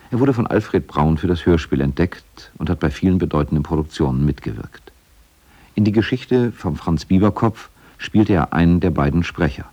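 Husky, deep male feature narrator. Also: dark tone, highs cut off. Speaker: documentary narrator. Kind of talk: scripted radio feature narration.

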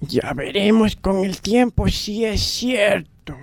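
Woman acting as a grumpy, slow-talking bookish man. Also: mid-range voice, expressive, vocal fry. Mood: shaken, skeptical. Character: grumpy man Octavio, bookish, gruff but caring. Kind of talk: amateur radio drama acting.

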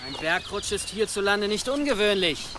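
An actor playing a cautious local guide to medieval warriors in an audio drama, measured and guarded. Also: male voice, high voice, animated, background noise.

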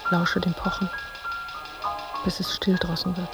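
An actress playing an intense, uneasy woman in a literary radio play, narrating her memories and fears in terse, clipped phrases with a creaky voice. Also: on the low side, monotone, unhurried, over loud music, close to an everyday voice. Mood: tense, watchful. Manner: terse, clipped phrases, at times near whispering.

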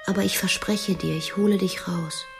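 Actress as a rebellious woman, hushed and intimate, gravelly edge, radio drama. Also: mid-range voice, fairly even intonation, moderate pace, over music, natural voice. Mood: despairing resolve. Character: Madeleine, rebellious woman secretly vowing to free an ape. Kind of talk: German radio drama acting.

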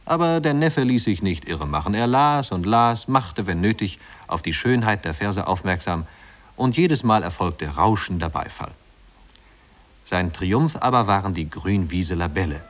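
A man narrating a literary tale in a 1950s radio drama, in a measured, wry storytelling manner. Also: sits low, expressive.

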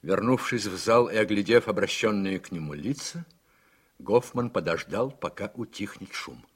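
A man's voice: fairly low pitch, normal speed, husky. A man reading scripted narration as the narrator of a radio drama.

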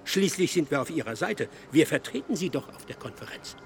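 A man's voice: fairly high pitch, sing-song, brisk, creaky, slight background noise. A man acting in a German radio drama as an anxious, self-serving, fussy delegate who talks urgently. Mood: urgent, persuasive.

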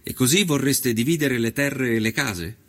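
A man's voice: mid-range voice, moderate pace, natural voice. An actor playing an observant nineteenth-century journalist, reading his travel diary as scripted narration in speech. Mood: inquiring. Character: male journalist of the 1890s, observant, admiring traveller. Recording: music behind the voice. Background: music.

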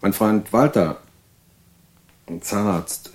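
A middle-aged mental patient looking back on his life in a radio play. The voice is fairly low, reflective and wandering, with a detached, faintly snobbish air. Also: takes it slowly. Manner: reflective, meandering. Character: reminiscing male asylum patient, middle-aged, snobbish, attached to mother. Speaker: actor in an audio drama. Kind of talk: monologue of recollection in audio drama.

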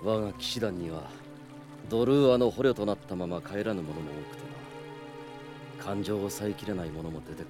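An actor playing a stern knight commander who speaks formally and with authority in a radio drama. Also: male voice, deep voice, a little fast, lightly acted. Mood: measured, reflective. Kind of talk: Japanese radio drama acting. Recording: dry studio with background music.

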